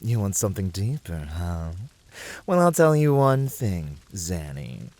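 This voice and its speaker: A man playing a flirty, devoted incubus demon in an ASMR roleplay, teasing his master with effusive flattery. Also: sits low, measured pace, dry recording, heavily acted. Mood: playful, cocky.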